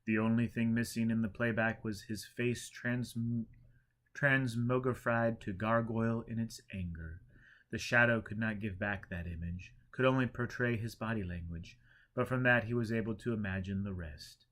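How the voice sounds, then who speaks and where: A man reading a storybook aloud as scripted narration, in an expressive way that leans into the drama. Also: lowish voice, normal speed. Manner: expressive, acts out characters' dialogue. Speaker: audiobook reader.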